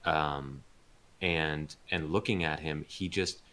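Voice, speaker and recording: A man chatting casually as a voice actor being interviewed, thoughtful and halting as he searches for words. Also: rumbling low, steady tone, pushed and bright.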